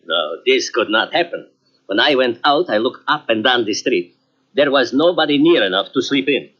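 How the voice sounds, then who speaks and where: A man's voice: medium pitch, expressive, moderate pace, close to an everyday voice. An actor playing a proud, formal club doorman of many years, speaking emphatically in a 1940s radio drama. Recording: dull lo-fi old radio recording.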